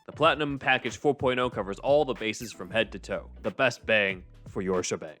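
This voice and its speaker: Male podcast host, low pitch, explains things in an informal, conversational register. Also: a little fast, a bit bright, faint music behind. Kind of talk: casual discussion and ad reads.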